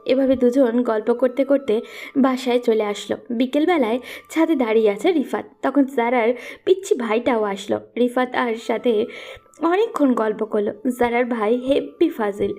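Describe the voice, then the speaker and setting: A woman's voice: a little high, moderate pace, warm tone. A woman narrating a scripted romantic audio story.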